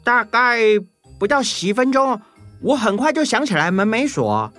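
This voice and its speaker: A man's voice: extremely high pitch, very animated, moderate pace, sharp tone, faint music behind, full character voice. A man voicing a busy, flustered male meerkat hotel worker in a children's audio story. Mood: defensive.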